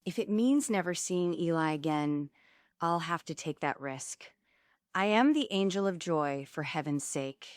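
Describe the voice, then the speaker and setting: A woman's voice: lowish voice, lively intonation, measured pace, no echo, clean studio sound. A woman playing a devoted, hopeful angel in a stage table read, sincere and determined. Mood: resolute.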